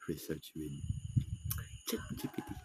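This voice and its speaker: A young man with a hoarse, raspy voice from a cough, talking hesitantly and rambling in a personal vlog, English not his first language. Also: unhurried. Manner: hesitant, rambling, soft-spoken. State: hoarse from a cough and dry throat. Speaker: vlogger. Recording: loud background noise.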